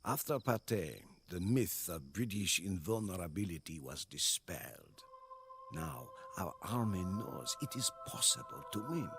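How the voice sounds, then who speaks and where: Game cutscene narrator: a weary, loyal medieval soldier chronicling a war, deep, solemn, expressive delivery over music. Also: male voice, unhurried, vocal fry, exaggerated voice. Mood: solemn, reflective. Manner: solemn, storyteller-like. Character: weary, devoted French soldier chronicling Joan of Arc's war. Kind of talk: scripted dramatic narration. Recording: music behind the voice.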